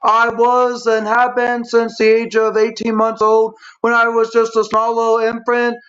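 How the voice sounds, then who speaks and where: A man with an extremely high, monotone voice talks as a guest on a show. He stutters and speaks haltingly, circling back and running long. The recording is dull and lo-fi. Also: a little hiss or hum. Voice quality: stuttering speech impairment. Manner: halting, repetitive, long-winded. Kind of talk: personal explanation on a talk show. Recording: dull, lo-fi stream audio.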